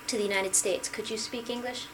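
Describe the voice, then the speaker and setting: Woman interviewer with soft backchannels. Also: a little high, fairly even intonation, fairly quick, noisy background. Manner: brief backchannels and gentle questions. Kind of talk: casual interview.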